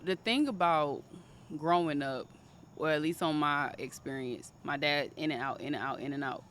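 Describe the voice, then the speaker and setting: A woman in her twenties in casual conversation, telling a personal story in an animated way. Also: sits low, normal speed, a little hiss or hum. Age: 20s. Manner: animated storytelling, quotes others in raised voice. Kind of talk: casual chat.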